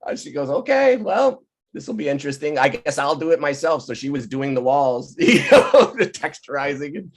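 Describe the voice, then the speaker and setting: Man chatting and telling stories, animated. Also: fairly high pitch, moderate pace. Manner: animated, laughing often, acting out quoted people. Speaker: playwright and director leading a virtual table read. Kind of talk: casual, anecdotal storytelling chat. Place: online video call. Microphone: video call microphone.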